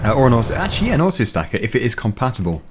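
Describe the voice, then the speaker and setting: A young man, slightly hoarse, chatting casually through a game while thinking aloud with fillers. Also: medium pitch, big pitch swings, rapid-fire, background noise. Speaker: gaming channel host. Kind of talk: casual gameplay commentary.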